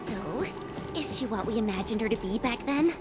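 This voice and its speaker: An eager young woman on a game squad, played by a voice actress, speaks in a high, animated voice. She sounds curious and excitable, with loud music playing behind her. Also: moderate pace, lightly acted. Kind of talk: video game voice acting.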